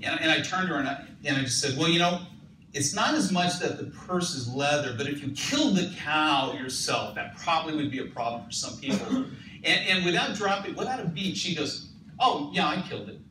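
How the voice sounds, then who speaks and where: Animated man telling a story in an echoey room. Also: a little high, fairly quick. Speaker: speaker sharing his story. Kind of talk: storytelling to a group. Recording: echoey room, distant mic, noisy.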